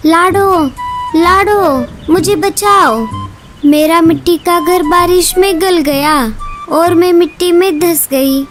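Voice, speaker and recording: Woman as plaintive female bulbul, pleading and remorseful, high-pitched, drawn-out pace, cartoon, music behind. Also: a bit bright, heavily acted. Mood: desperate. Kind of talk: Hindi animal cartoon story.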